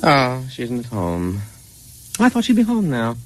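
An actor playing an eccentric, boastful struggling painter with a breezy, chatty manner, in old-time radio comedy style. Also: male voice, medium pitch, huge pitch swings, a little slow. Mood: casual, sly. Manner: breezy, chatty, proud of his own work. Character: eccentric struggling painter, boastful, oblivious, sly, eager to show off.

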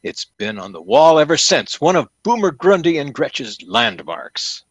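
An actor playing a fawning, pompous store salesman in an old-time radio comedy, with effusive, theatrical flattery. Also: fairly high pitch, unhurried, dry recording, full character voice. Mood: deferential.

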